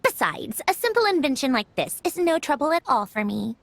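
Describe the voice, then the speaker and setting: A woman's voice: sits high, very animated, fairly quick, vocal fry, a bit bright, full character voice. A woman playing a proud, refined young lady inventor in an anime video game, speaking in a prim, self-assured and articulate way. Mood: prim, gently haughty.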